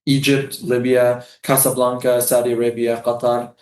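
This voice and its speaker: A man's voice: medium pitch, flat delivery, normal speed, a little mellow, close to an everyday voice. Echoey weary male prisoner read by an actor. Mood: reflective. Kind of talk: staged play reading. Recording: roomy, echoing stage sound.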